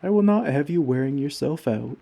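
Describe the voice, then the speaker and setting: A man's voice: fairly high pitch, animated, a little fast, vocal fry, dark tone. A man playing a kindly giant in an ASMR comfort roleplay, speaking formally and tenderly to a tiny friend. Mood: warm, reassuring. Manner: formal, courteous, tender, old-fashioned wording. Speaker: roleplay audio performer.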